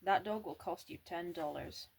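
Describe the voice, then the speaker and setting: A woman voicing an old male street seller of puppies, speaking louder with a salesman's drawn-out patter in an amateur read-aloud. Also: on the low side, creaky, husky. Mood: salesy. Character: older male street puppy seller, pushy.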